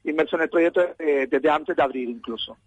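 A man from the Canary Islands with a Canarian Spanish accent, a restaurant manager chatting on air, friendly and quick to agree. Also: on the high side, narrow, tinny audio, a little hiss or hum. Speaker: restaurant manager, phone guest. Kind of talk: casual phone interview.

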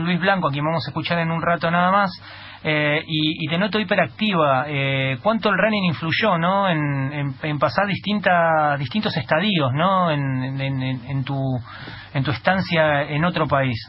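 A man with a Rioplatense Argentine accent and a somewhat high voice hosts a radio interview. He often stumbles and repeats words as he restarts his sentences. The sound is muffled and lo-fi, with faint noise underneath. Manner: hesitant, many repeated restarts. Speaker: radio host.

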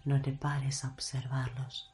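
A woman leading a guided meditation in a hushed, measured delivery, leaving long pauses between short phrases. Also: rumbling low, little pitch movement, soft background music. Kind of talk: guided meditation, slow soothing narration.

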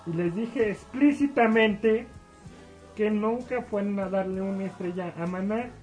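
A man's voice: high-pitched, measured pace, darkish tone, faint music behind. A man with a Mexican accent voicing a boastful, rambling rocker who brags and grumbles in a comedy bit. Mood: indignant. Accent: Mexican Spanish. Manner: bragging, rambling, mock-indignant. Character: boastful middle-aged male rocker Gudelio. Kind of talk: comedy sketch on a podcast.

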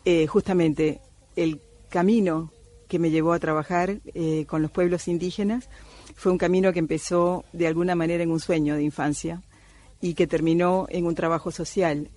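A woman's voice: fairly low pitch, steady tone, moderate pace, mellow. A middle-aged woman from Cuba, an anthropologist, speaking thoughtfully with many hesitations in a radio interview. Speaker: anthropologist guest.

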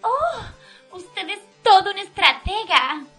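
A woman's voice: very high voice, big pitch swings, slow, sharp tone, faint music behind, exaggerated voice. An Argentine woman playing a haughty, vain, easily flustered society villainess in a comic radio play, with theatrical gushing delivery.

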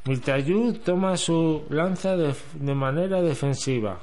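A man's voice: a little high, animated, slow pace, a little mellow. A man reading scripted storytelling narration as the narrator of an amateur radio drama. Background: music.

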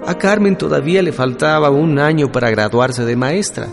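An actor playing an earnest, idealistic young student in a radio drama, speaking reflectively. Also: male voice, a little high, normal speed, creaky. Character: earnest, idealistic young male student recounting revolutionary times. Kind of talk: radio drama narration and dialogue. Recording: loud music under the voice.

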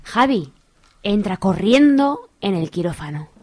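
A woman's voice: mid-range voice, very animated, a little slow, background noise. A woman narrating a radio serial in a scripted storytelling style.

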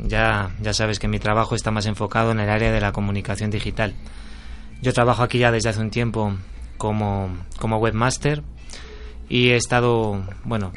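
Relaxed man with a Spaniard's accent. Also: fairly low pitch, slight background noise. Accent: Spanish from Spain. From Spain. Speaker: radio guest. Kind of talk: casual interview talk.

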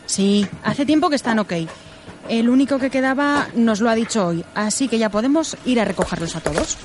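A woman with an accent from Spain playing a brisk, practical team leader in a radio drama, colloquial and matter-of-fact. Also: a little high, noisy background, close to an everyday voice. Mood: businesslike.

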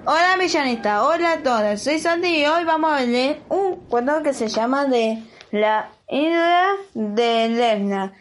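High-voiced Argentine radio presenter, female. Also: lively intonation, measured pace, slight background noise.

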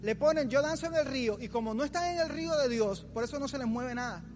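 A man's voice: very high voice, fast, noisy background. A man in his forties preaching an informal youth sermon as a pastor, in a colloquial, teasing manner. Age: 40s. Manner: colloquial, teasing, acts out imagined complainers. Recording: long hall reverb, music underneath.

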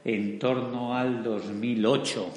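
A man's voice: mid-range voice, steady tone, slow. A middle-aged man with an accent from Spain speaks enthusiastically and conversationally as an interview guest. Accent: Spanish, from Spain. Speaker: interview guest, customer experience executive and teacher. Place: large event room, indoors. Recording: echoey, reverberant hall.

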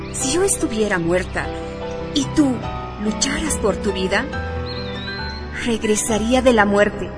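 Woman playing warrior mother, radio drama, stern, loving, commanding, higher-pitched, unhurried. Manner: solemn, commanding, deliberate. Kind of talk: dramatic radio serial acting.

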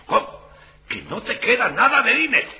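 Man acting an exasperated, high-pitched grown son. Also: wildly swooping pitch, moderate pace, creaky, pushed and bright, heavily acted. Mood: grudging, exasperated. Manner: argumentative, insistent. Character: exasperated grown son arguing over money with father. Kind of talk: radio comedy acting. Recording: echoey hall with audience noise.

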